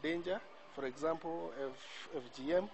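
Man, middle-aged, official, outdoor interview, formal, fairly high voice, background noise. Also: normal speed, vocal fry, darkish tone. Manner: formal, listing with fillers. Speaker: institution official. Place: outdoors by a building.

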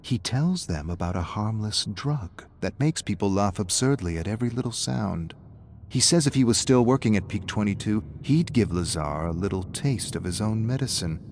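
A man's voice: fairly low pitch, expressive, moderate pace, a little mellow, a little hiss or hum. A man reading a scripted story as narrator, with dramatic delivery.

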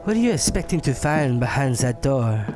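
A man plays a grumbling, incredulous convict in an audio drama. His mid-pitched voice moves up and down a lot as he scolds, with faint music behind. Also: very animated, normal speed, close to an everyday voice. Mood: exasperated. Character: exasperated, grumbling male death-row inmate.

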